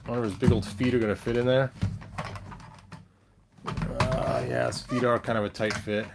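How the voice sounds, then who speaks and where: A man's voice: mid-range voice, creaky, noisy background. A man chatting casually and playfully as he hosts a hobby video, often muttering to himself as he handles toys. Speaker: toy collector hosting a story-time video. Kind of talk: casual chat while handling action figures.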